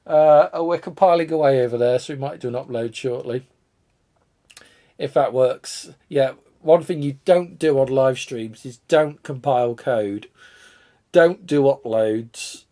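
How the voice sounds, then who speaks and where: A man hosts a live stream, still a bit congested and coughing from a cold. He rambles and thinks out loud while he tinkers, poking fun at his own disorganisation, and his voice now and then drifts back from the mic. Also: medium pitch, a little slow. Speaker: retro computer channel host. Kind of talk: casual live stream chat.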